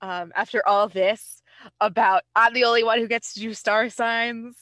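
A woman's voice: on the high side, fairly even intonation, normal speed, fairly bright tone, no room sound. Casual woman podcaster with dry, close sound. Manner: self-deprecating, informal, wry asides. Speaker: podcast host. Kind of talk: casual history storytelling.

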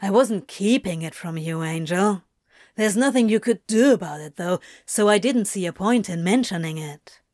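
A woman's voice: medium pitch, moderate pace, no echo, close to an everyday voice. A woman voicing a sardonic, protective male demon in an audiobook story, with a dry, grumbling delivery. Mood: dry, scoffing. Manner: dry, muttering, deadpan.

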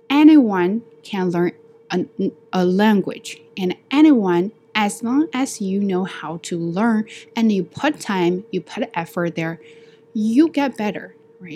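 Young chinese woman, chatty podcast storyteller, medium pitch, slight hiss. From China. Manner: chatty, animated, acts out dialogue. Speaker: podcast host. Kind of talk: casual solo storytelling.

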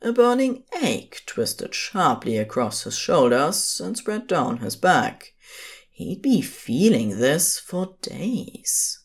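Slow, expressive woman narrator. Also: sits low, breathy edge. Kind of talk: scripted fiction narration.

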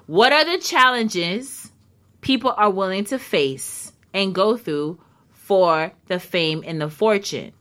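A woman's voice: mid-range voice, lively intonation, takes it slowly, fairly bright tone. A woman in her thirties hosting a casual internet radio talk show, playful and chatty, speaking into a studio microphone. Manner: playful, hypes listeners, restarts and fillers.

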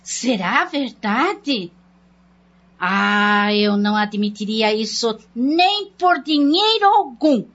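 Uma atriz brasileira de radionovela interpretando uma amiga curiosa, ávida por fofoca, com fala exagerada e insistente. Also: female voice, a little high, lively intonation, fairly bright tone, full character voice. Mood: avid curiosity. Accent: Brazilian. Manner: exaggerated, drawn-out prodding. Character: nosy female friend, eager for gossip. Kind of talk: radio soap acting. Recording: dull, lo-fi radio drama recording.

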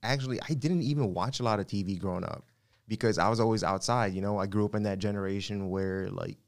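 A man from the Philippines, a podcast guest, chatting casually and telling stories with quick asides. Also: on the low side. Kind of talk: casual chat.

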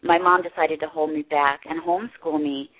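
Interview guest, woman, deep register, candid and halting, phone-quality audio. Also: fairly even intonation. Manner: earnest, halting, many restarts. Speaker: interview guest, production company head. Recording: tinny phone line.

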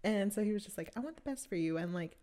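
A woman's voice: mid-range voice, animated, fast, dark tone. Young woman, mid-20s, podcast co-host, loose chatty delivery, easily laughs. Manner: chatty, many fillers and restarts, laughs often. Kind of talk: casual chat among friends.

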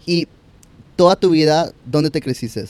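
Hombre joven anfitrión, voz algo aguda. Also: male voice, a little high, a little mellow, no echo. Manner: chatty, quick follow-up questions. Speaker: podcast host. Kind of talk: casual interview.

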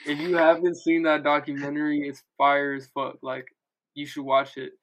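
A man's voice: fairly high pitch, little pitch movement, moderate pace, dull audio. A man co-hosting a podcast in casual chat, with a joking, slangy way of talking. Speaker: podcast co-host.

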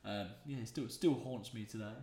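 A man's voice: lowish voice, a little fast, darkish tone, slight background noise. A young man hosting a podcast, telling rambling stories and swearing freely. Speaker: podcast host. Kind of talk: casual chat. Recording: echoey room.

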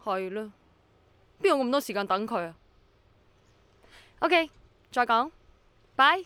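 A pushy woman selling old household things in a radio drama. Her voice is high, insistent and full of exclamation. It is recorded dry, with no room sound. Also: animated, slow pace, sharp tone, smooth, no echo, exaggerated voice. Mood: busy, annoyed. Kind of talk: Cantonese radio drama acting.

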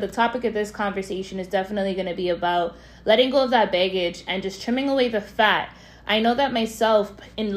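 A woman hosting a podcast, her voice hoarse from losing it, talking in a hyped, slangy way straight to her listeners. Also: mid-range voice. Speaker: podcast host. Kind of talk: casual podcast chat.

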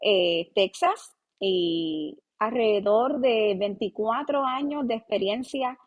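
A Puerto Rican woman in her late thirties talks as a guest pastor on a podcast. Her voice is husky and breathy, and she speaks earnestly and humbly, often pausing on "eh" as she gathers her thoughts. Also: on the high side, animated, highs cut off. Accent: Puerto Rican Spanish. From Puerto Rico. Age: 30s. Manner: humble, earnest, with many "eh" fillers. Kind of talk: podcast interview.

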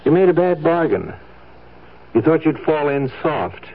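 A man's voice: fairly high pitch, sing-song, heavily acted. Muffled, blunt, clinical male doctor. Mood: blunt, insistent. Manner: clinical, insistent, measured. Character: firm, blunt male psychiatrist pressing his patient. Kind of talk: 1970s radio drama acting. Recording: dull, muffled vintage radio recording.

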